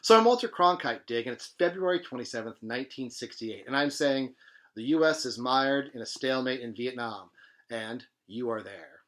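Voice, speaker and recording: A man reads as a hip late-sixties storyteller from a novel, posing as a news anchor. The delivery is emphatic, slangy and driving, in a medium-pitched male voice. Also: animated, normal speed, a bit bright, close to an everyday voice. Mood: brash, declaring. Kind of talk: dramatic reading of literary fiction.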